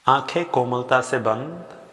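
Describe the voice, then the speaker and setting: A man guiding a sleep meditation with gentle, unhurried instructions in short phrases with long pauses between them. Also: mid-range voice. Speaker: yoga nidra guide. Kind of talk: guided sleep meditation, slow spoken instructions. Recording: long reverb on the voice.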